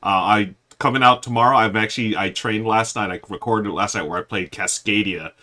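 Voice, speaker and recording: A man's voice: lowish voice, brisk, pushed and bright. A man co-hosting a board game live stream, rambling casually and talking to viewers as folks, his voice a bit unsteady with a cough drop in. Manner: rambling, restarts often, addresses viewers as folks. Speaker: co-host of a board game show.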